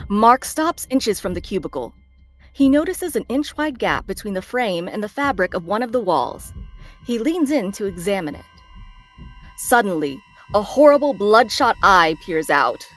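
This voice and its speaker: Animated woman reading screenplay narration. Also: medium pitch, normal speed, no room sound. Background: faint background music.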